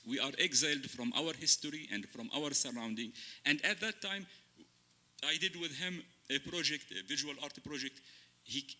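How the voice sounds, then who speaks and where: A man whose first language is Arabic, a writer on a panel, speaking slowly and searching for words in English. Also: on the high side, sharp tone. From Palestine. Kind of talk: panel discussion. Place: stage.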